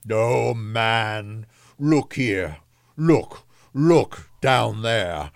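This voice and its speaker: A man's voice: lowish voice, lively intonation, drawn-out words, gravelly, no room sound, clean studio sound, pitched above the performer's own voice, heavily acted. A man voicing a solemn, sorrowful spirit who warns of doom in a grave, emphatic storybook reading. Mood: stern, urgent. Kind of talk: dramatised classic audiobook reading.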